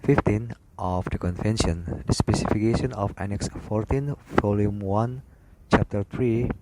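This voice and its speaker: Slow, halting man over loud noise. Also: lowish voice. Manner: halting, broken phrasing with stumbles over words. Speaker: instructor reading aviation regulations. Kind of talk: read-aloud scripted lesson narration. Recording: loud background noise with clicks.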